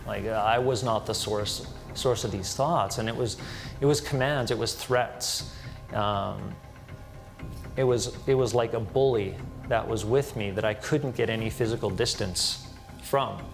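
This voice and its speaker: A man giving a personal testimony, recounting his experience deliberately and searching for words. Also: on the low side, gravelly. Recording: music bed under the voice. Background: background music.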